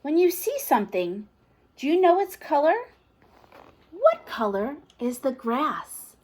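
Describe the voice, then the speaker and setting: A woman's voice: sits high, very animated, slow, darkish tone. A woman teacher reading a picture book aloud to toddlers, asking encouraging questions in a sing-song, exaggerated way.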